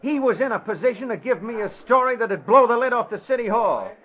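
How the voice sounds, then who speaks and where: An actor playing a brisk, slangy, keen newspaper reporter in a vintage radio drama. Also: male voice, extremely high pitch, expressive, a bit bright, full character voice. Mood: brisk, eager. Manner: keen, matter-of-fact, slangy. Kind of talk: 1940s radio acting. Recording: dull vintage radio recording.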